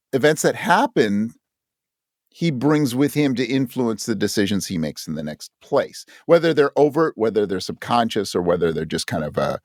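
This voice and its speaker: A man with a fairly low voice speaks as a guest in a casual interview. He is reflective and halting, often rewording his point as he works it out. The sound is close and dry. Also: no room sound. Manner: thoughtful, halting, rephrases as he goes. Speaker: interview guest, audio drama creator.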